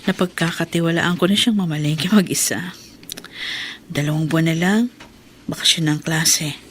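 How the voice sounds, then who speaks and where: A woman's voice: low-pitched, steady tone, normal speed, close to an everyday voice. A woman with a Filipino accent playing a troubled, curious housewife in a radio drama, sighing and thinking aloud. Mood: musing, curious. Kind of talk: Filipino radio drama acting, musing monologue. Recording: noisy radio drama track.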